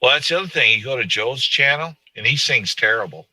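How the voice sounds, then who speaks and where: A man's voice: mid-range voice, lively intonation, fairly quick, heavy background noise. A man hosting a casual live stream, rambling and joking with his viewers and a co-host. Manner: rambling, joking, laughs often.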